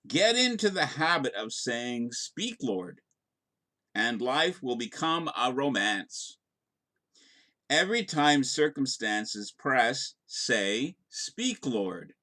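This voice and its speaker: A man, a pastor, reading a scripted daily devotional with deliberate, measured phrasing. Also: mid-range voice, sharp tone.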